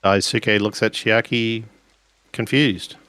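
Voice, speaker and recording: A man's voice: on the low side, fairly even intonation, takes it slowly, bright, forceful tone, clean tone, no room sound. A man playing in a tabletop role-playing game, talking casually and describing what his character does. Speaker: tabletop role-play player.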